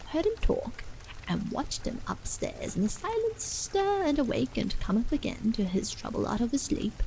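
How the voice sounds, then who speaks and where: A woman's voice: on the high side, creaky, slight background noise, heavily acted, rough recording. A woman voicing an earnest, cordial clergyman of seventy-one, persuading patiently in formal, emphatic, old-fashioned phrases for a story. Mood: grave, persuasive. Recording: steady rain sounds behind the voice.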